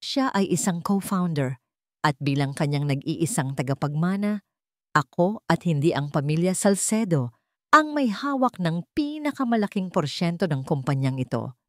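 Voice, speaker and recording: A woman narrator plays a wronged heiress in a dramatic audio story. She is calm, cold and commanding, speaking with firm authority. The sound is dry and close. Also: lowish voice, moderate pace, no room sound. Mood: cold, accusing.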